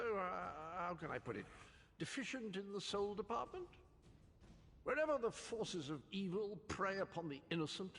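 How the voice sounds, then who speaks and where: A man's voice: high voice, vocal fry, a bit bright, in a large hall, slight background noise, full character voice. A man voicing a jolly, eccentric old knight with a British accent in a video game, theatrical and cheerfully pompous. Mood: heroic. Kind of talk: video game character dialogue.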